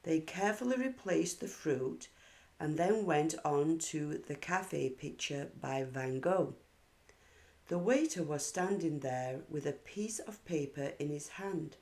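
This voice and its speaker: Female children's read-aloud narrator: expressive, unhurried, low-toned, telling a story to little kids. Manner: measured, animated storytelling for young children.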